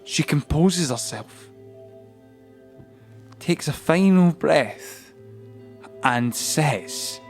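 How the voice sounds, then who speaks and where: A suspenseful storyteller, higher voice, takes his time, bit of music under it. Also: lively intonation, full character voice. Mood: tense, suspenseful. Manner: measured, suspenseful. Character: urgent storyteller narrator of an audio play. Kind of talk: dramatic scripted third-person narration. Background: music score.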